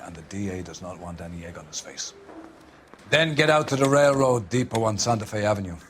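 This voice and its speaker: An actor playing a gruff, sardonic older police captain giving orders in a naturalistic video game cutscene. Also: male voice, deep voice, a little hiss or hum, natural voice.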